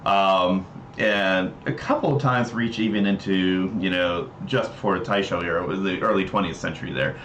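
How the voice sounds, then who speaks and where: Low-voiced man in a roomy, echoing space. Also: normal speed, a bit bright. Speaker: livestream host. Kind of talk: casual explanatory livestream talk. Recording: roomy echo, dull mic, noisy background.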